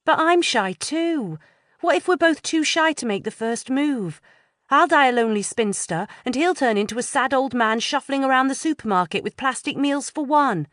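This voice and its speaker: A woman's voice: high-pitched, lively intonation, normal speed, a bit bright, no room sound, studio quality, pitched above the performer's own voice. A woman voicing a shy, anxious teenage girl in a storybook reading. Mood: fretful. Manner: nervous, fretting.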